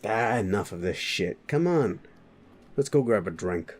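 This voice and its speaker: A man's voice: fairly low pitch, expressive, a little slow. Man acting a cocky, swaggering werewolf, wildly swooping delivery. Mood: casual, friendly. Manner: swaggering, sweary, teasing, rambling. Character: cocky sleazy male werewolf, crude teasing drinking buddy. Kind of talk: audio roleplay acting addressed to the listener.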